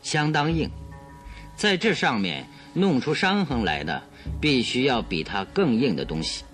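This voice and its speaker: Confident detective, mid-pitched, theatrical and explanatory, for radio drama. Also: male voice, very animated, a little slow, a bit bright, faint music behind. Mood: assured, explanatory. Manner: theatrical, explanatory, self-assured. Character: confident male detective theatrically demonstrating his deduction.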